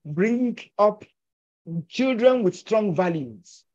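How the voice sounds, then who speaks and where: A man's voice: high-pitched, expressive, slow, darkish tone. Emphatic older man on a video call. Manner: emphatic, persuasive, gesturing with his hands. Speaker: filmmaker and actor discussing his film. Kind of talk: conversational storytelling. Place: indoors at home, virtual backdrop. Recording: muffled video call audio.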